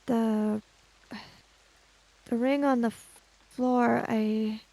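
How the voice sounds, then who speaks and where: Slow, mellow-voiced woman, slightly creaky. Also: fairly high pitch, no room sound, low-quality recording. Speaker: player in a tabletop roleplaying podcast. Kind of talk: in-character roleplay and narrating own character's actions.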